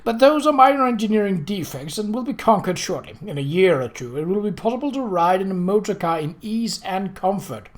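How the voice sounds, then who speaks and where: Storybook gentleman, performed by a narrator: aristocratic man, confident, polished, gallant and courteous. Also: mid-range voice, expressive, a little fast, hardly any pauses, voice pushed up, lightly acted. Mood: smoothly assured. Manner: smooth, flourishing, courteous.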